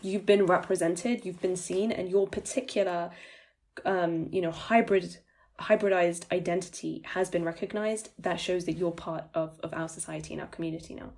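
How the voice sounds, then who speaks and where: A woman co-hosting a podcast discussion, talking in a thoughtful, measured way and often hedging with "you know". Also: on the low side, fairly even intonation, darkish tone. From United Kingdom. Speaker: podcast co-host.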